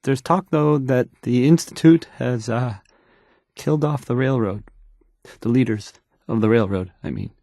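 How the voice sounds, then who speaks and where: A man's voice: mid-range voice, mellow, no room sound, studio quality, close to an everyday voice. A man playing an awkward, unsure young radio DJ in a video game, stumbling hesitantly through news reports. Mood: nervous, fumbling. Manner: halting, stammering, hesitant, trailing off.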